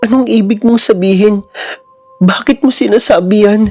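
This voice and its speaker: A man's voice: sits high, moderate pace, a little mellow, voice pushed up. A man voicing a frightened young mother who speaks tenderly and pleadingly to her family in a storybook horror tale. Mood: alarmed.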